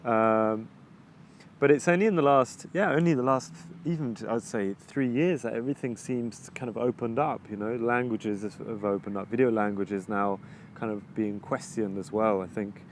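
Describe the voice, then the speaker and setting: A man with an English accent and a lowish voice talks things through slowly outdoors, stopping and restarting as he hunts for the right phrase. Faint traffic and outdoor ambience hum behind him. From England. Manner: halting, many restarts while searching for words. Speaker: videographer interviewee. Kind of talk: reflective casual interview answers. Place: outdoors overlooking the ocean.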